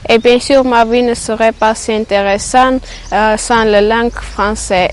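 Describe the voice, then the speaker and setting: Fifteen-year-old girl over clear noise. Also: a little high, steady tone, unhurried. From Armenia. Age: teens. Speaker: school pupil.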